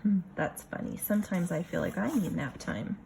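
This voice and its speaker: A woman reading a picture book aloud like a kindergarten teacher, expressive, pausing for casual asides to the children. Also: on the low side, vocal fry, slight background noise, low-quality recording.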